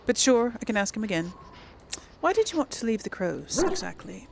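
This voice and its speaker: A woman's voice: mid-range voice, sing-song, moderate pace, vocal fry, background noise. A woman hosting a gaming video, giving casual commentary and lightly role-playing dialogue. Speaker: gamer, video host.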